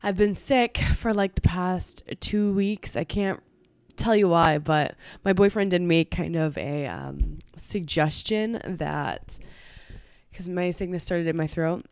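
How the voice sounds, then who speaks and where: A woman's voice: fairly low pitch, takes it slowly, a little mellow, smooth, dry recording. A woman hosting a podcast, speaking casually and hesitantly with many fillers and restarts. She sounds nasal from a cold and talks from her room at home. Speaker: podcast host. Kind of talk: casual confessional podcast talk.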